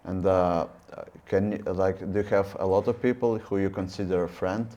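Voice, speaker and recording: Man, ukrainian accent, low mellow voice, hesitant questions full of fillers, podcast host. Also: steady tone, normal speed, lo-fi sound. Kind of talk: casual interview questions.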